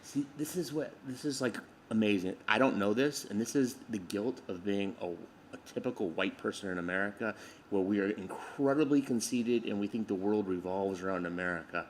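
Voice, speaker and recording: A man's voice: on the low side, a little fast, slight background noise. A man hosting a casual interview, asking eager questions that trip over themselves. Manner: enthusiastic, stumbles over his questions. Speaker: podcast host.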